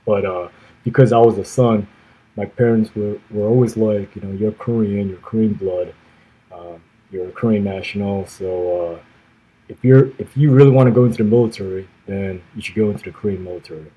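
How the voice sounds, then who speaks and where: Podcast guest, man, 30s, dark husky voice, measured delivery with soft trailing endings. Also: fairly low pitch, steady tone, rough recording. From grew up in the United States. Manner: measured, many "uh" pauses, trailing off softly at phrase ends. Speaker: podcast guest, former navy officer. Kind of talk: conversational interview answers.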